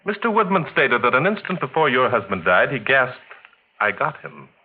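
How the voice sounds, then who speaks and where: An actor playing a shrewd gentleman detective, politely formal and deliberately probing, in 1940s radio style. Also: medium pitch, lively intonation, natural voice. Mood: coolly probing. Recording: scratchy 1940s radio, narrow tinny band.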